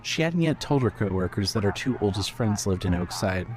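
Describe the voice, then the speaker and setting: A man narrating scripted fiction as a storyteller, in a measured manner. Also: fairly low pitch, expressive.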